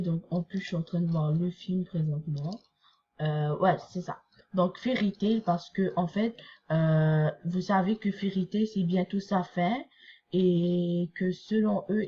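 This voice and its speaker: A woman's voice: lowish voice, darkish tone, dull audio, a little hiss or hum. A young woman from Quebec with a Québécois accent, chatting casually as she reads and reacts to a manga chapter, full of fillers and asides. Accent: Québécois French. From Quebec, Canada. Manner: chatty, rambling, many fillers. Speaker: anime reaction vlogger.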